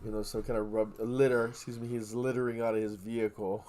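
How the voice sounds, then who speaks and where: A man from Hawaii co-hosting a casual podcast, telling stories in an animated way and swearing freely. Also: lowish voice, fast talker. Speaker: podcast co-host. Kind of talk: casual chat, storytelling.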